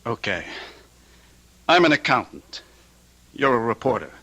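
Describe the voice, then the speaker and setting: An actor playing a young, sophisticated accountant and troubled husband in an old-time radio drama, speaking in earnest, deliberate statements. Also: male voice, mid-range voice, very animated, fairly bright tone. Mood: earnest, hesitant.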